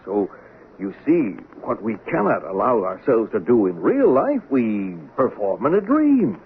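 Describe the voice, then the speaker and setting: An actor playing a patient, placating police official who speaks haltingly in a 1970s radio drama. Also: male voice, on the low side, wildly swooping pitch, heavily acted. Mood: persuasive, soothing. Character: soothing, hesitant police official humoring a deluded woman. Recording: narrow, hissy old radio broadcast.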